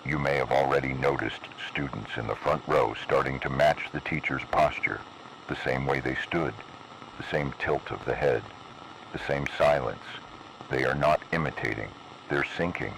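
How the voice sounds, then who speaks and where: An extremely low-voiced, ominous announcer acted by a man reads an analog horror emergency warning. The delivery is grave, deliberate and cryptic, over a lo-fi radio broadcast with faint noise. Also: creaky, a bit bright, full character voice. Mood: stern, foreboding.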